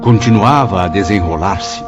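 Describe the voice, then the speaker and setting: A man's voice: low-pitched, moderate pace. A man narrating a radio drama in a solemn, scripted style. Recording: dull lo-fi sound under loud music.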